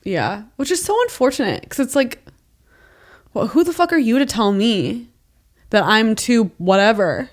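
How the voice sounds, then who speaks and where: A woman's voice: a little high, lively intonation, moderate pace. A woman in her late twenties, co-hosting a podcast in casual chat, candid and sprinkled with "like" and the odd swear. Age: 20s. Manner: frequent "like" fillers, candid and profane. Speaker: podcast co-host.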